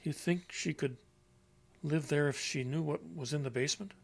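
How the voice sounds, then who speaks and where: A man's voice: on the high side, normal speed, vocal fry, breathy edge. An actor playing a confused, reluctant elderly man with failing memory in a horror audio drama, stammering and repeating himself. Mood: worried, halting. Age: older.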